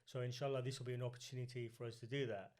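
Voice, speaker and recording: A man's voice: mid-range voice, flat delivery, racing, darkish tone. A man with a southern English accent, talking casually as a podcast host and interviewer. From UK, grew up in Reading.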